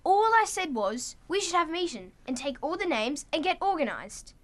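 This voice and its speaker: A woman's voice: sits high, sing-song, normal speed. A woman playing an earnest, picked-on schoolboy in a radio play, plaintive and insistent.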